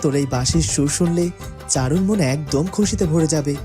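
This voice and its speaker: A woman's voice: low voice, normal speed, music in the background, natural voice. A woman playing a dutiful, kind young prince in a cartoon fairytale. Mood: admiring, kind. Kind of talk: cartoon character acting.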